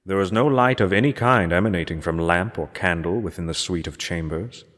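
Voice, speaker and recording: A man reads gothic horror literature aloud as a measured, theatrical narration, with formal delivery. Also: low voice, a bit bright. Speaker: amateur reader of a horror story. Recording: long cavernous reverb on the voice.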